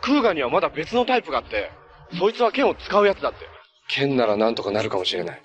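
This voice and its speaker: A man's voice: medium pitch, very animated, racing, a bit bright, faint music behind. A young man acting an upbeat, kind-hearted adventurer and hero in a live-action TV superhero drama, speaking in an earnest, friendly, boyish way. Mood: serious, focused. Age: young adult.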